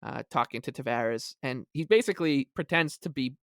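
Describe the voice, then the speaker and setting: A man co-hosting a sports podcast, chatting casually in a halting, gleeful, excitable way. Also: medium pitch, no room sound, studio quality. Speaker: podcast co-host. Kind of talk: casual hockey fan chat.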